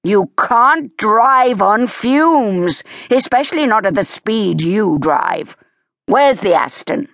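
A woman voicing a short, energetic father who scolds his daughter in an exasperated way. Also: mid-range voice, slow pace, creaky, no room sound, clean studio sound, voice pushed up, exaggerated voice. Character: short, brisk, fussing father, a dynamo of a man.

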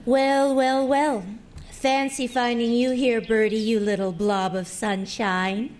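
A breezy young American heiress, with a high-pitched voice. She is effusive, teasing and sure of herself, in the style of a comic radio play. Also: level tone, takes it slowly, darkish tone. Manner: effusive, teasing, self-assured. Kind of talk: comic audio drama acting.

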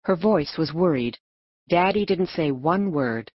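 A woman's voice: low voice, animated, a little slow, dry recording. A woman narrating a children's novel from a script as an audiobook reader. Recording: dull, narrow lo-fi audio.

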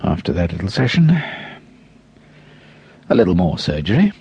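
A man narrating an audiobook in a dramatic first person, theatrically savouring his words with long pauses. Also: low voice, big pitch swings, dark tone, slightly rough, lo-fi sound.

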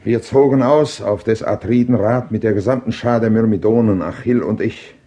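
An actor playing a proud Greek king and war leader who recounts battle in classical verse drama, declaimed with deliberate, rhetorical phrasing. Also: lowish voice, warm tone, lightly acted. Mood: vivid, urgent.

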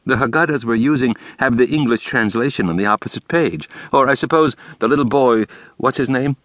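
A man voicing a calm rabbi who answers patiently with quiet, dry amusement. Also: mid-range voice, brisk, no echo, voice pushed up, natural voice.

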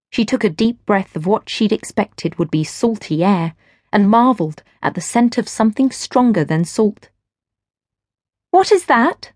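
A woman reading literary fiction as an audiobook narrator, in measured, expressive storytelling. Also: medium pitch, no echo, studio quality. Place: dry studio.

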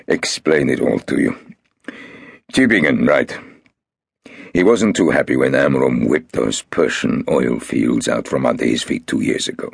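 A man voicing a sick, ruthless old male tycoon who speaks in a cold, measured way. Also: extremely low pitch, vocal fry, slightly rough, dry recording, clean studio sound, pitched below the performer's own voice, full character voice.

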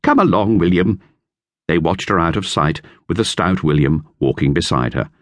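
A man narrating a children's classic in a lively storytelling manner. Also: a bass voice, moderate pace, no room sound. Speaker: audiobook narrator. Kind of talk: scripted narration. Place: recording studio.

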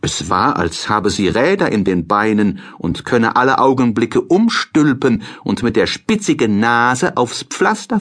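A man reading a children's fairy tale as an audiobook narrator, in a measured, expressive storytelling manner. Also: fairly low pitch.

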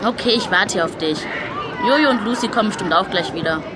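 A woman's voice: mid-range voice, expressive, fast talker, crowd noise, background noise, natural voice. A woman playing an outspoken, loyal young schoolgirl in a children's audio drama, with a frank manner. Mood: indignant.

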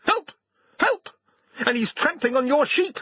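High, frantic talking dog. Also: male voice, sing-song, unhurried, muffled recording, heavily acted. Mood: frantic alarm. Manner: frantic, exclamatory cries. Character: frightened farm dog, male, yelping and pleading. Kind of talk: animated storybook reading.

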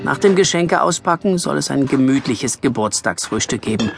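Lively male children's story narrator over music. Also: mid-range voice, moderate pace, vocal fry. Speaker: audiobook narrator.

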